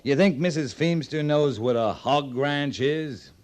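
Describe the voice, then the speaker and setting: An actor playing a terse, dry-witted American cavalry captain in an old-time radio western. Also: male voice, fairly high pitch, unhurried, lightly acted. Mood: blunt, skeptical. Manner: terse, deadpan, plain-spoken.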